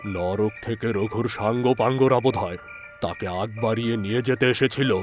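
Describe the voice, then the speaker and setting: A man narrating a horror story in a dramatic, measured and suspenseful manner. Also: on the low side, faint music behind.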